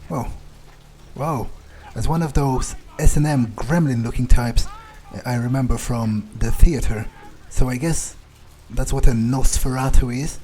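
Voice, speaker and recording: A man doing an in-character game playthrough, thinking aloud in a gruff, blunt, halting way with plenty of swearing. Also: mid-range voice, warm tone, a little hiss or hum, poor audio. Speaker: gamer playing in character.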